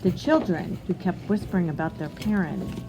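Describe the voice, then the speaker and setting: Teacher lady reading a story out loud to kids, expressive, asks them questions, music in the back. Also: on the low side, poor audio. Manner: expressive, instructive, pausing to ask questions. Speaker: read-aloud teacher. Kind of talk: storybook reading with asides to young listeners.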